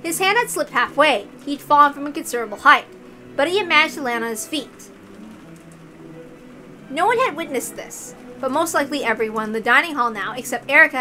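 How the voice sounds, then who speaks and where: A woman's voice: high-pitched, pushed and bright. A woman reading a visual novel aloud as a narrator, telling the story dramatically. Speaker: story reader.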